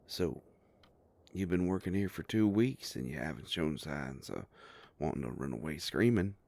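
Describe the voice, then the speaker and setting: A man playing a sardonic, grumbling werewolf private investigator with a soft heart, in an audio roleplay drama. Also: expressive, normal speed, dry recording, exaggerated voice. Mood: friendly, easygoing. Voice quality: low gravelly voice.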